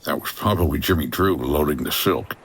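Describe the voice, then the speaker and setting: Casting: actor as hardboiled 1950s American man, low pitch, gruff, wry hotel owner for a radio mystery. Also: brisk, full character voice. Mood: brisk, dry. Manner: dry, hardboiled. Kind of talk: old-time radio detective acting.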